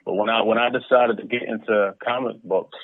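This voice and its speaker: A man's voice: on the low side, fairly even intonation, a little fast, no echo. A man giving casual, conversational answers as an interview guest over a remote call. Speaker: interview guest, comic book creator. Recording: narrow, tinny phone-like line.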